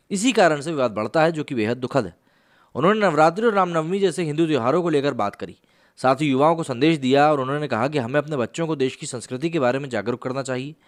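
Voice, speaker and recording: A man explaining the news as a channel host, mixing in some English words. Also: a little high. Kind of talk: news explainer commentary to camera.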